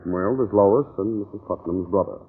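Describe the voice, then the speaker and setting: An actor playing a composed, protective family doctor in 1940s radio drama, speaking formally. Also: male voice, sits low, moderate pace. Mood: composed, solicitous. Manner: formal, explanatory. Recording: noisy, muffled old radio recording.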